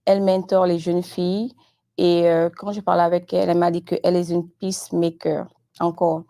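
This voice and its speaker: A woman whose first language is French hosts a live-streamed chat, her voice low and gravelly. Her tone is dark and mellow. She talks unhurriedly, in halting phrases. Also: little pitch movement. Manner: halting, unhurried, switches between English and French. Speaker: host of a live show. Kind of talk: casual live-stream interview hosting.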